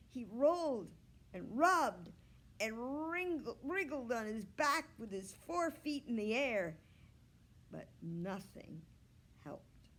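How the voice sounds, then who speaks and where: A woman reading a children's novel aloud as a storyteller, expressive and deliberate, drawing out words. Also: medium pitch. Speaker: children's story reader, library staff.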